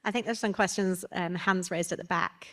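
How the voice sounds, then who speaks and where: A woman with a low-pitched voice. She speaks spontaneously, like a researcher chairing a conference panel and explaining findings to the room. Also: fairly even intonation, a little fast, fairly bright tone. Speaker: survey researcher chairing audience questions.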